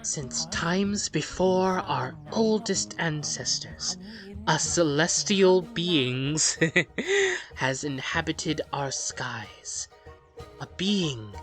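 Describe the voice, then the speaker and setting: A let's play host reads a game's story aloud in a measured, dramatic way and adds offhand, rambling commentary. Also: mid-range voice, sing-song, a bit bright. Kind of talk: reading game story aloud with casual commentary. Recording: music under the voice. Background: game music.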